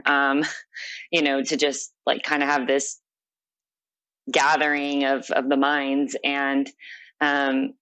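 A woman's voice: rumbling low, fairly bright tone. A young adult woman being interviewed, telling a personal story in an earnest, reflective way. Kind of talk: personal account in conversation.

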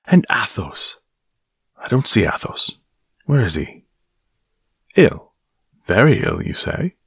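A man's voice: on the low side, very animated, slow, gravelly, breathy edge, no room sound, clean studio sound, voice pushed up, full character voice. A man voicing an angry middle-aged captain of musketeers, scolding his men. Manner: scolding, shouting.

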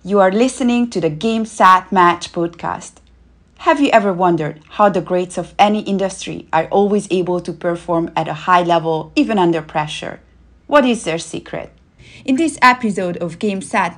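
A woman with a lowish voice reads a podcast opening at a measured pace. She poses questions directly to the listener. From Hungary. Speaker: performance coach. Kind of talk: scripted podcast intro.

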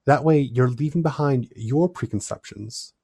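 A man talks through his ideas in a relaxed, thoughtful way. His voice sits at a middle pitch. The sound is dry and close, with no room echo. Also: moderate pace, vocal fry, slightly rough. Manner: thoughtful, explanatory, animated delivery. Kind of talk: casual discussion.

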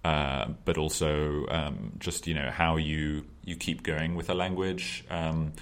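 A man's voice: a bass voice, steady tone, slow pace. A man hosting a casual conversation, talking loosely with lots of ums and hesitations. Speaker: podcast host.

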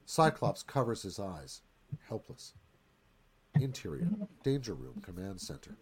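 A man's voice: lowish voice, sing-song, unhurried, a little mellow, husky. A man narrating a screenplay's stage directions in a live radio-theatre reading.

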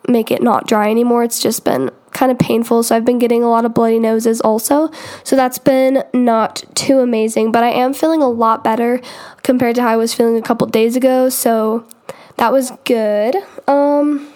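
A woman's voice: on the high side, flat delivery, moderate pace, a little mellow. A young woman hosting her own podcast, talking casually with lots of fillers and restarts while getting over an illness. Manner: chatty, rambling, many "um" and "like" fillers. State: recovering from illness, congested. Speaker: podcast host. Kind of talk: casual solo podcast chat.

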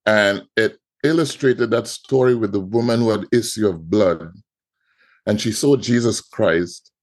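Man, trinidadian accent, middle-aged, lowish voice, storytelling, repeats words. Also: a little slow. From Trinidad and Tobago. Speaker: guest artist. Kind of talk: podcast interview.

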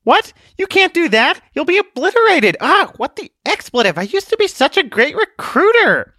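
A bossy, sarcastic young sniper girl, as a male narrator puts her on, pitched well above his usual voice and pushed louder. She talks in a lively, up-and-down storybook reading, recorded close and dry. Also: moderate pace, dry recording, exaggerated voice. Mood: panicked. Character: brash, pushy young female sniper girl.

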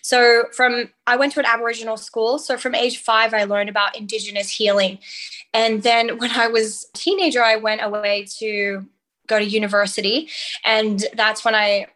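A woman from the outback, a guest in a podcast interview, telling her story in lively conversation. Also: a little high, normal speed. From Australia. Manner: animated storytelling with light laughs. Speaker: guest nutritionist.

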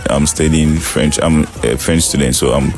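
A man's voice: a bass voice, steady tone, moderate pace, faint music behind. A man with a Ghanaian accent introducing himself casually as a student guest on a panel.

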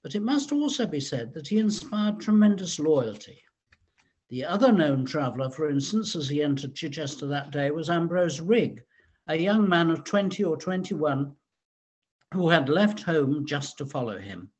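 Male narrator for scripted history, voice a touch high and rough-edged, measured storyteller's delivery. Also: animated, a little mellow.

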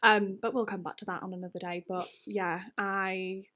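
A woman's voice: medium pitch, little pitch movement, moderate pace, pushed and bright. Bubbly young woman from North Wales. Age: late 20s. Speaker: podcast co-host. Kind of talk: casual chat.

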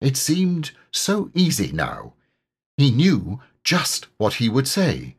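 A man reads a children's story aloud at an unhurried pace. His pitch is medium and very animated, with a slightly husky edge to the narration. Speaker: audiobook narrator and voice actor.

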